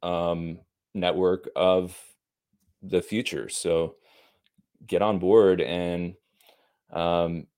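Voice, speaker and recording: A man's voice: deep voice, level tone, extremely slow, long pauses, darkish tone, clean tone. A man speaking as a guest on a casual live-stream chat, earnest and rambling, enthusiastic and swearing casually. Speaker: podcast guest.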